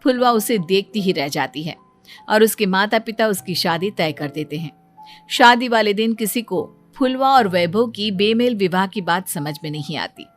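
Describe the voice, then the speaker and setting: A woman narrating a scripted bedtime cartoon story in a calm storytelling manner. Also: mid-range voice, normal speed, soft background music.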